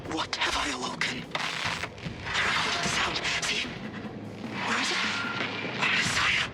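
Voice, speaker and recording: A man playing a terrified, haunted tech-priest recording an audio log in a video game, fearful and dramatic. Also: on the high side. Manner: fearful, dramatic, swinging between dread and recital.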